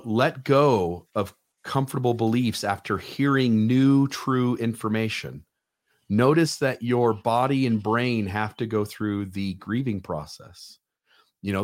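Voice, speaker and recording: A man hosting a casual podcast chat, talking in a loose, conversational way with frequent restarts. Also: mid-range voice. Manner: conversational, restarts, quotes others animatedly.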